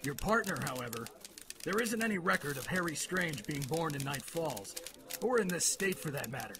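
Blunt police lieutenant, a man acting, higher-pitched. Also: lively intonation, moderate pace, background noise. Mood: suspicious. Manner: blunt, official. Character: gruff, suspicious male police lieutenant. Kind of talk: audio drama acting.

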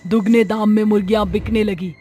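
A woman plays a vengeful cartoon witch ghost. She speaks dramatically and menacingly at a medium pitch, with soft music behind. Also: fairly even intonation, a little fast, close to an everyday voice. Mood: bitter. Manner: dramatic, threatening.

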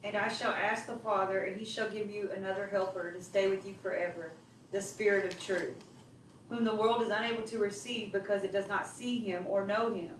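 A woman in a home Bible study group reading scripture aloud in a steady, measured way, recorded by a camera in a living room. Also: mid-range voice, slight background noise. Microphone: far camera in the room. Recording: distant voice in echoey room.

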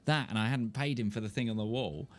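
A man's voice: mid-range voice, fast. A young man from southern England with a mild Kentish accent and a nasal voice, rambling and joking at himself as he chats to viewers while playing a game at home. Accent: southern English, mild Kentish. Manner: rambling, self-mocking, laughs often. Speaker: streamer. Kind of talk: casual live-stream chat while playing. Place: indoor home room, gaming chair. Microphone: desk mic in front of him.

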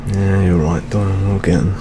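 A young British man playing a weary, jaded older police detective in a soft-spoken ASMR roleplay, muttering with gloomy gallows humour. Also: low voice, steady tone, normal speed, noisy background. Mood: jaded, resigned. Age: young adult.

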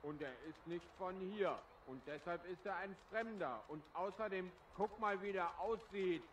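A man playing an excitable, suspicious village merchant in an audio drama. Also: sits high, normal speed, dull audio. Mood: alarmed, suspicious.

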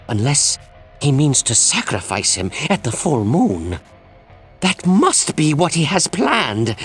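Solemn game priest, anguished, theatrical, wide swings of pitch, music behind. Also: male voice. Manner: solemn, impassioned, theatrical old-fashioned delivery. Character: grave, anguished village priest urging a hero onward. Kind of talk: dramatic video game dialogue.

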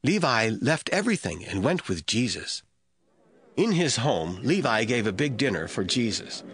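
A man narrating a scripture audio drama from a script in a deliberate storytelling manner. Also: mid-range voice, very animated, fairly bright tone, slightly rough. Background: faint music.

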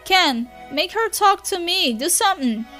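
A woman's voice: extremely high pitch, lively intonation, measured pace, pushed and bright, soft background music, voice pushed up, exaggerated voice. A woman voicing a brash, sneering young male troll. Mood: pushy, sneering. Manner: profane, pushy, sneering.

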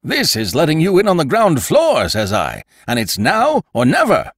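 A blustering major pushing a deal, as a man reading aloud puts him on. The voice climbs high and swoops on each boast. The recording is close and dry. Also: wildly swooping pitch, moderate pace, husky, no echo, exaggerated voice. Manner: blustering, salesy. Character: bluff male major, pushy stock promoter.

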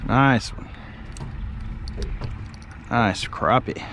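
A man, talking casually to viewers while fishing from a small boat outdoors, hollering with excitement when fish bite. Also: mid-range voice, extremely slow, very noisy. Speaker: fishing vlogger. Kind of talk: casual fishing vlog talk.

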